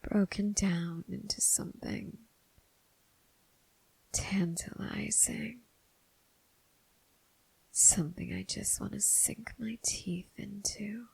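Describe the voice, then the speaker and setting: Breathy, whispering seductive demoness, acted by a woman. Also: medium pitch, fairly even intonation, a little mellow, full character voice, poor audio. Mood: predatory, adoring. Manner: slow, deliberate, teasing, coaxing. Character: seductive female demon, predatory, teasing, possessive deal-maker. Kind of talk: ASMR roleplay, intimate whispered monologue addressed to listener.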